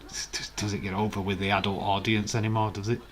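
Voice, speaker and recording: A man with a croaky, hoarse voice, hosting a casual live stream, chatting in a rambling way and reading out viewer comments. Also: fairly low pitch, little pitch movement, fast talker, a bit bright.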